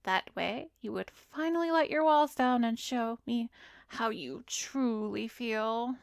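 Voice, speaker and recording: Slow, breathy vampiress hypnotist, acted by a woman. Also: a little high, dry recording, clean studio sound. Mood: smug, gloating. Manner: slow, breathy, commanding, drifting into whispers. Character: arrogant, seductive vampiress hypnotist, smug and cruel. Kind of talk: ASMR roleplay, hypnotic scripted monologue.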